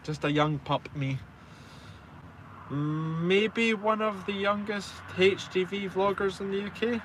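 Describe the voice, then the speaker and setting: A man's voice: high voice, steady tone, slow, fairly bright tone, slight background noise. A man in his twenties with a Scottish accent, chatting casually and informally on a live stream and answering viewers with self-deprecating banter. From Scotland. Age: 20s. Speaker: truck driver and live stream host.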